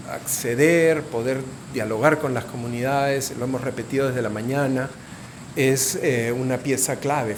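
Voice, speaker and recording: Moderator: man, mid-pitched voice, summarizing with fillers, clear room hum behind. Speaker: panel moderator. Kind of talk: moderating a conference panel.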